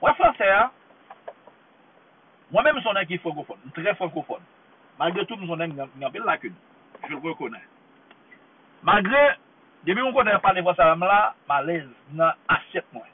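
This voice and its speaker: A man's voice: sits high, sing-song, moderate pace, pauses often, slight background noise. A man from Haiti speaking with a Haitian accent in an impassioned monologue, repeating himself for emphasis. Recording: tinny phone-like line.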